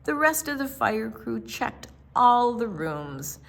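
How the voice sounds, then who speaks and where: A woman reading a rhyming children's picture book aloud in an expressive, theatrical storytime style, with dramatic pauses and emphasis. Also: fairly high pitch, gravelly, slight background noise.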